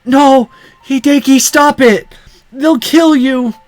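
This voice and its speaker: A man's voice: very high voice, takes it slowly, faint music behind, pitched above the performer's own voice. A man voicing a desperate, protective young woman held captive in a visual novel, pleading urgently.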